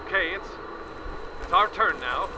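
A man's voice: high voice, expressive. An actor playing a seasoned male war correspondent describing a strange place live, often stumbling and restarting. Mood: reporting. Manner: halting, stammering live description. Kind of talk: audio drama acting. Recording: dull, noisy radio-like sound.

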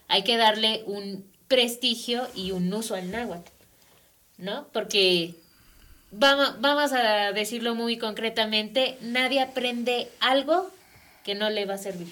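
A woman's voice: fairly high pitch, unhurried, fairly bright tone. A Mexican woman with a Mexican Spanish accent, explaining language issues on an academic radio talk show and often checking in with tag questions. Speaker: guest speaker on language. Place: radio studio.

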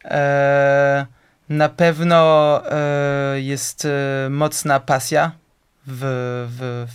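Slow, halting man with a smooth voice. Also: mid-range voice, flat delivery. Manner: slow, searching for words with many fillers. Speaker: interview guest, musician. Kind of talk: casual interview answers.

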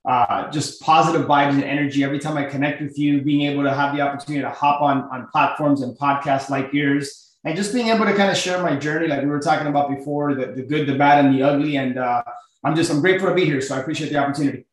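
A middle-aged man from Miami talks as a podcast guest. His voice is fairly high, and he tells his story earnestly and informally. The recording is heavily echoey and roomy. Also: fairly even intonation, fast talker. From Miami, United States. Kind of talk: casual personal storytelling.

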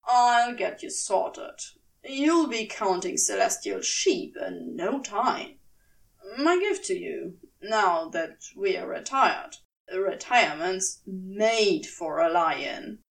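A woman puts on a tipsy, condescending man for an audiobook story. He drawls slowly and slurs, coaxing with loose, lively intonation. The voice sits a little away from the microphone. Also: medium pitch, creaky, far from the mic, pitched below the performer's own voice, rough recording. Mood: drunkenly coaxing. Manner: slurred, loose, scornful drawl. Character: drunk, patronizing, coaxing man sprawled on a sofa.

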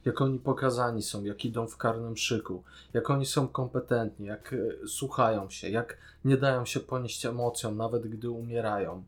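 Casting: man, medium-pitched, for a casual podcast discussion giving opinions. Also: fairly even intonation, normal speed, hardly any pauses. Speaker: podcast co-host.

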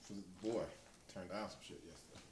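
A man's voice: sits low, a little fast, a little mellow. A man in his late thirties in casual podcast chat, telling a story in a laid-back, profane way and quoting his own retorts. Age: 30s. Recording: echoey room with faint hiss.

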